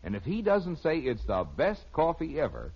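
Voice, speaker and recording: A man's voice: fairly high pitch, huge pitch swings, normal speed, slightly rough. A man with an American accent delivering a folksy, persuasive 1950s radio commercial as an announcer. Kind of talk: 1950s radio advertising pitch. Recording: dull old radio recording.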